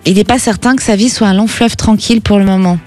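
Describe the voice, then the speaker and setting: A woman's voice: medium pitch, fairly even intonation, quick pace, soft background music. Reassuring middle-aged woman radio psychic. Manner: reassuring, gently advising, frequent tag "hein". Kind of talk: call-in radio consultation.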